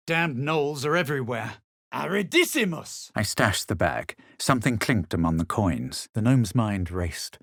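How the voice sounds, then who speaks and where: Fantasy game hero: self-assured, brooding spellcaster, husky and expressive, measured theatrical delivery, dry close sound. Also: male voice, fairly low pitch, dry recording, heavily acted. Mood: forceful incantation. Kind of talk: fantasy video game voice lines, combat barks and narrated recollections.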